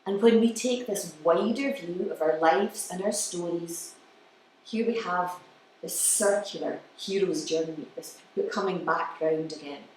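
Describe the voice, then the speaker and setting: A woman's voice: fairly low pitch, darkish tone. Very echoey, distant woman lecturing. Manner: explanatory, halting pauses, counts beats aloud. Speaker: musician giving a talk. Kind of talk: live lecture with demonstrations. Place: indoor room with an audience. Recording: distant mic, very echoey room, faint noise.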